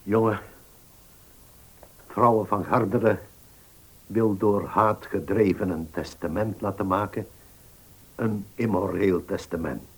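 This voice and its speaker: Radio drama: upright old notary, deep and slow, solemnly indignant, frail in delivery. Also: male voice, fairly even intonation, breathy edge, heavily acted. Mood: indignant, faint. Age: older. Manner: halting, solemn. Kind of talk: Dutch radio play acting.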